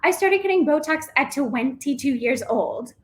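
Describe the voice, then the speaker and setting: A stand-up comedian with a high voice, delivering a dry, deadpan set. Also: female voice, normal speed.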